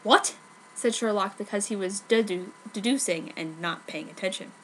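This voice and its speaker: A woman's voice: medium pitch, moderate pace, low-quality recording. A woman giving a mock-serious, exaggerated dramatic reading of comic fan fiction. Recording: noticeable background noise, amateur recording.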